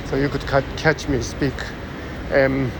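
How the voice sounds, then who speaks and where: Japanese accent guy rambling slowly while walking, lots of ums, city traffic roaring behind him. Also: medium pitch, flat delivery, vocal fry. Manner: thoughtful, halting, many fillers. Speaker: vlogger. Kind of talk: musing monologue while walking. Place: busy city street outdoors. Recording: loud street traffic behind.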